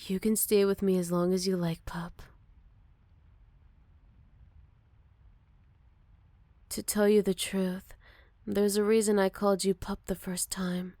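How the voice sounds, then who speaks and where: Woman voicing a gentle motherly werewolf, close and dry. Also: mid-range voice, no room sound, close to an everyday voice. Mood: gentle, curious. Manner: soft, caring, unhurried, slipping into whispers. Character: gentle motherly older female werewolf, protective and wistful. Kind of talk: ASMR roleplay, intimate one-sided dialogue to listener.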